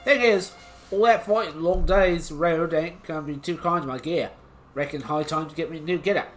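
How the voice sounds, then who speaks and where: A streamer voicing a rough male monster hunter in an Australian accent, with a folksy, slangy drawl. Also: mid-range voice, normal speed, soft background music, close to an everyday voice. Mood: easygoing. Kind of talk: reading game dialogue aloud on stream. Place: home streaming setup.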